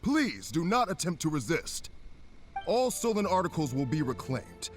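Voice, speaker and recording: A man's voice: on the high side, sing-song, normal speed. An actor playing a stern male commission officer who speaks in an official, dry, authoritative way, in a video game. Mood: formal. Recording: game audio with faint music.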